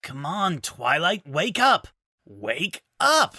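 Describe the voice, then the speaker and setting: A man doing a cocky, tough young woman in a storybook reading who snaps out her words bluntly. The voice is raised and bright, with lively swings. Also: fairly high pitch, a little slow, no room sound, studio quality, voice pushed up. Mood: urgent. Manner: blunt and urgent.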